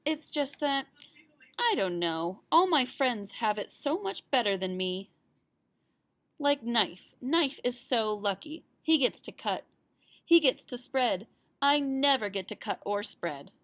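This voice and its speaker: A woman's voice: a little high, animated, slow, fairly bright tone, no echo. A woman voicing a glum, envious little boy spoon in a children's storybook, plaintive and mumbling. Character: young boy spoon, whiny, envious, feeling blue.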